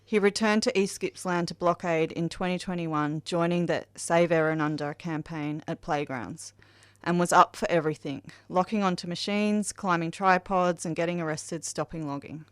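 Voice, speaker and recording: A woman's voice: on the low side, steady tone, normal speed, smooth, no room sound. An Australian woman reading scripted memorial tributes for a podcast. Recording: music behind the voice. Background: music.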